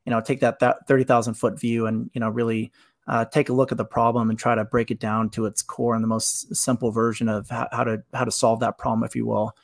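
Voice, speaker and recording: Fast-talking gravelly male host, dry sound. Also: mid-range voice, flat delivery, no echo. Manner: affirming, rambling with "you know" fillers. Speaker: webinar host and interviewer. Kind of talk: casual webinar interview.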